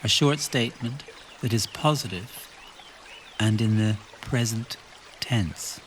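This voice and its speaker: A man guides a relaxation meditation at a very slow pace, with a mid-pitched voice. He gives calm instructions in short phrases, leaving long pauses. A faint trickle of stream water runs behind him. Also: a little hiss or hum.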